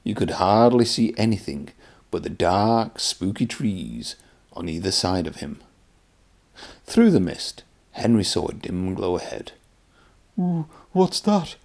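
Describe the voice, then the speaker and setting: A man's voice: low-pitched, expressive, measured pace, creaky, a little mellow. A man presenting and narrating a read-aloud storybook for children.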